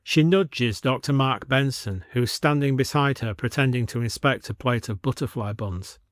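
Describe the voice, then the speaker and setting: A male narrator reads a scripted audio story. He is measured and descriptive, with a mid-pitched voice recorded close and dry. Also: dry recording.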